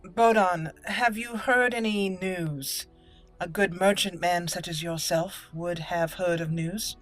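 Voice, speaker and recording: A woman voicing a proud, courteous young elf mage from a fantasy game, with formal, measured phrasing. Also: lowish voice, soft background music. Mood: curious, wry.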